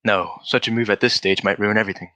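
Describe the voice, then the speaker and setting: An actor playing a shrewd, confident gentleman detective in old-style radio drama, politely explaining himself in an assured manner. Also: lowish voice, steady tone, fast talker. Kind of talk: 1940s radio drama acting.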